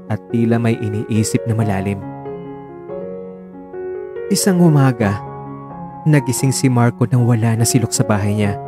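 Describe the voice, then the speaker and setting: A man narrating an audio drama with scripted, storytelling delivery. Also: medium pitch. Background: faint music.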